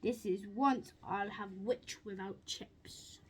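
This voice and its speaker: A woman's voice: mid-range voice, steady tone, slow, a little hiss or hum, voice pushed down, close to an everyday voice. A woman voicing a hungry male dragon in a children's storybook, declaring his lines in rhyme. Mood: menacing.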